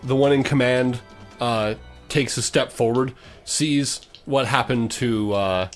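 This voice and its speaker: Male game master, medium pitch, improvised fantasy combat narration mixed with rules talk, music underneath. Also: slow. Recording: music behind the voice.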